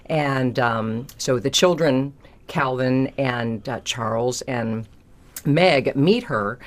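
A woman stage actor talking in a casual interview, explaining things in a thoughtful, enthusiastic way. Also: extremely low pitch.

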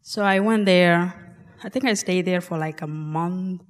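South Sudanese woman, low-pitched, slow and halting, live storyteller in a reverberant hall. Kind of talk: live personal storytelling on stage. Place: large performing arts hall.

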